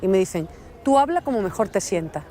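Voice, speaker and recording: A woman's voice: medium pitch, animated, brisk, warm tone. A woman with an Andalusian accent, an actress speaking firmly in an interview. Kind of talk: interview talk.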